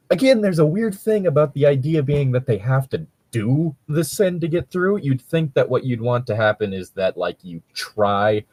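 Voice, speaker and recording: A man's voice: medium pitch, dark tone, no room sound. A man chatting casually as a show co-host, sweary and riffing in a joking commentary. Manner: sweary, riffing, breaking into skits. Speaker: co-host of a story-reading show.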